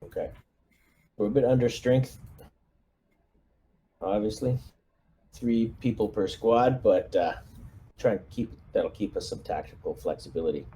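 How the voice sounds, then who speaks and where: Mellow man giving tabletop squad orders. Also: lowish voice, measured pace, long pauses, a little hiss or hum.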